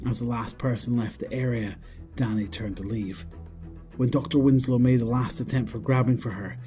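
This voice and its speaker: A man reading scripted narration for a horror audio drama. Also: mid-range voice.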